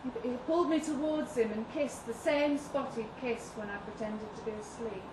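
Female story reader, higher pitch, measured literary delivery; roomy live recording with clear background noise. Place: live literary event venue in London. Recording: roomy, distant mic with audible noise.

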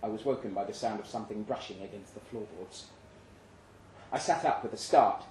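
A man reading literary fiction aloud at a live event, measured and suspenseful, with deliberate pauses. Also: on the low side, darkish tone. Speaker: story reader. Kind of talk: dramatic literary narration, read aloud live. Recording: roomy live venue, faint background noise.

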